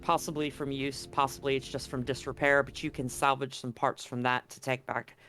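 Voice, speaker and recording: A man's voice: medium pitch, flat delivery, moderate pace, bright, forceful tone, faint music behind. A man running a tabletop game, explaining scenes informally and directly to his players. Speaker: game master. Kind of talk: improvised tabletop game narration.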